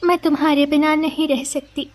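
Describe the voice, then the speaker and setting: A woman voicing an anxious young village woman in love in an animated moral story, earnest and worried. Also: sits high, moderate pace, lightly acted.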